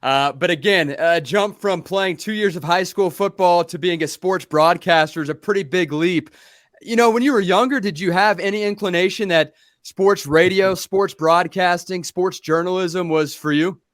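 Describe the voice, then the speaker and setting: A man's voice: high-pitched, moderate pace, a bit bright, no echo, studio quality. A man hosting a podcast, asking formal leading questions and recapping what his guest has said. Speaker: podcast host. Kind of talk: scripted interview questions.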